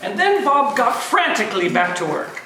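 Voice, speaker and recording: A man's voice: very high-pitched, big pitch swings, background noise. A man acting as the theatrical narrator of a comic Christmas tale in an amateur read, stressing words dramatically. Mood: dramatic. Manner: dramatic, drawn-out emphasis. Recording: cavernous echo, distant, music behind.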